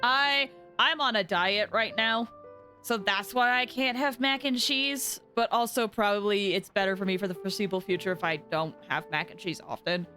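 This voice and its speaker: A woman's voice: medium pitch, normal speed, bright, forceful tone, soft background music. A woman chatting casually and playfully as she comments on a game live, often repeating herself. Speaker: game streamer.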